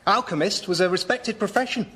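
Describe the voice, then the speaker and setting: An actor playing a patient, well-off investment manager in a radio comedy sketch, matter-of-fact and explanatory. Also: male voice, high-pitched, brisk, a bit bright, slightly rough.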